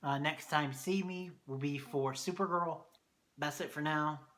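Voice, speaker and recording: A man reviewing a TV episode in a casual vlog, excitable and swearing often, his voice going hoarse. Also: fairly high pitch, a little slow. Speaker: TV review vlogger.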